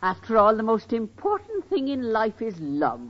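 An actress playing a vain, good-humored middle-aged Irish neighbor in an old radio sitcom. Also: female voice, fairly high pitch, wildly swooping pitch, moderate pace. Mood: motherly. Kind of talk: 1940s-50s radio sitcom acting. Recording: dull, noisy old radio recording.

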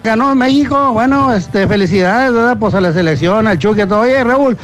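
A man's voice: sits high, moderate pace, music in the background. A man calling a radio show in a chatty way to suggest an interview to the host. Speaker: caller. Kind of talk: recorded listener message.

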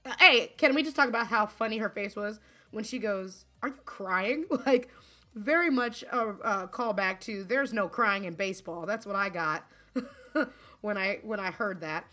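A woman co-hosting a podcast in casual chat, giggly and animated, often acting out quotes. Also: medium pitch, moderate pace. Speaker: podcast co-host.

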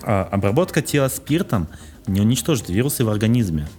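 A young man with a fairly low voice co-hosts a casual news podcast. He reads items out and jokes about them, often restarting words. Soft music plays behind him. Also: sing-song, fast talker. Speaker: podcast co-host.

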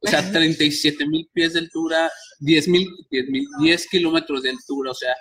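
A man's voice: fairly high pitch, steady tone, normal speed, a bit bright, slight background noise. A man hosting a podcast, telling stories animatedly with many restarts. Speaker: podcast host. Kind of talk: casual interview chat.

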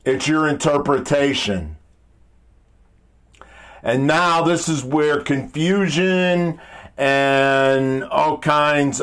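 Slow-talking man, deep and emphatic. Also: a bit bright. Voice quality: deep, powerful voice. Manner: emphatic, halting delivery with repeated phrases and blunt language. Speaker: motivational speaker. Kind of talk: informal motivational monologue with rhetorical questions.

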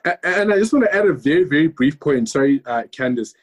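A man speaking earnestly in a panel discussion, husky and emphatic, repeating words for stress. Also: a little high, lively intonation, quick pace.

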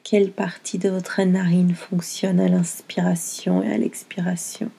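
A woman leads a guided meditation with a soft, husky voice that stays dark and mellow. She speaks gently in short, soothing phrases, leaving long pauses between them. There is a light fry in her voice as she gives each unhurried instruction. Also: fairly low pitch, flat delivery. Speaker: yoga nidra guide.

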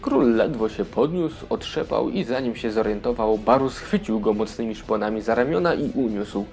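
A man's voice: fairly low pitch, animated, normal speed, a little mellow, soft background music. A man narrating a scripted fairy tale for children as the storyteller of an audio play.

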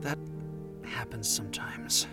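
A troubled man in his late twenties as acted for audio drama. He speaks slowly and haltingly, pleading and full of self-doubt, with music clearly behind him. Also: medium pitch, close to an everyday voice. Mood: defeated. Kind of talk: scripted audio drama acting.

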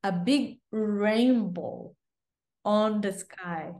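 Slow-speaking woman, reflective. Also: mid-range voice. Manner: warm, reflective, repeats herself for emphasis. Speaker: guest, bride-to-be. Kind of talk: casual storytelling.

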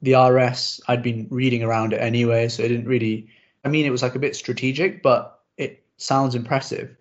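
A young man whose first language is Urdu chats easily as host, self-deprecating and full of quick asides. His voice sits at a middling pitch. The audio is a little dull and lo-fi. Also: level tone. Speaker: podcast host. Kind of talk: casual interview chat.

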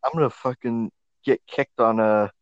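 A man's voice: medium pitch, fairly even intonation, normal speed, clear, resonant tone, no echo. Joking man on a dry lo-fi podcast. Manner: joking, crude, mock ad reads. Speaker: podcast co-host. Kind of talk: casual chat. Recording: dry, dull lo-fi audio.

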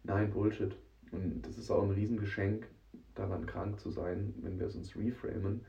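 Man, podcast host, hoarse and stuffed up, gravelly low voice, distant dull mic. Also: steady tone, normal speed, mellow. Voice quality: rough, scratchy voice. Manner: chatty, addresses listener directly, frequent "ne?". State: hoarse and congested from a cold. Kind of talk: casual solo podcast monologue.

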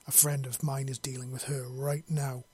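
A man's voice: medium pitch, level tone, normal speed, dark tone, breathy edge. A man voicing a mysterious, confident woman who speaks in a playful, gracious and assured manner. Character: mysterious, playful, confident beautiful dark-haired young woman.

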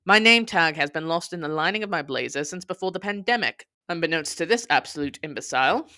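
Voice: mid-range voice, animated, brisk, pushed and bright, no room sound, clean studio sound. A podcast host delivering dramatic scripted storytelling in a theatrical, sardonic, verbose manner with scornful emphasis.

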